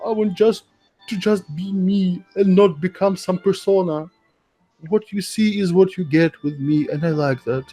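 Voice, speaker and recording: A man's voice: sits high, fairly even intonation, measured pace, dark tone. A man streaming a mock-dramatic reading of a social media thread, theatrical and giggly, with amused asides. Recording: music playing behind the voice. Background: background music.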